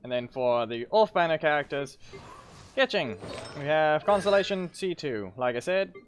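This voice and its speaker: A man with a fairly high voice talks casually through a game as he streams. He rambles, corrects himself and drops into muttered asides. The audio carries faint noise and clicking. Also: expressive, moderate pace. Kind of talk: casual gameplay commentary.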